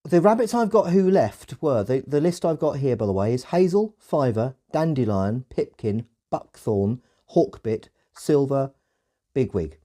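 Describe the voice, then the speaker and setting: A man's voice: mid-range voice, very animated, a little slow, mellow. A middle-aged man with a British accent hosting a casual discussion in a tentative, reflective way. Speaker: podcast host.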